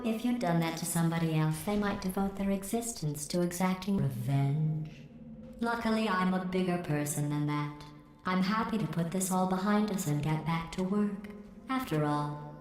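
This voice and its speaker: An actress voicing a cold, sarcastic female AI supercomputer in a video game, with a flat, measured, passive-aggressive delivery through synthetic robotic processing. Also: lowish voice. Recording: echoey game audio.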